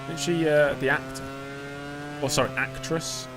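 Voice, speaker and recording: A man's voice: mid-range voice, level tone, normal speed, over music. A young British man talking through a racing game live, chatty and self-mocking as he answers his chat. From Britain. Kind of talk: casual live-stream commentary.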